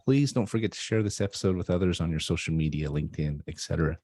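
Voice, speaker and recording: Low, mellow-voiced talk radio host. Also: male voice, fast talker, slightly rough. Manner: upbeat, affirming, often asks "right?". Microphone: video call.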